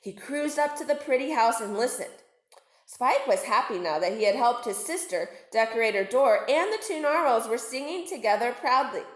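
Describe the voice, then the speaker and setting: A woman's voice: fairly high pitch, animated, moderate pace. A woman reading a storybook aloud to children as a narrator. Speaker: storybook reader, teacher. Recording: echoey room.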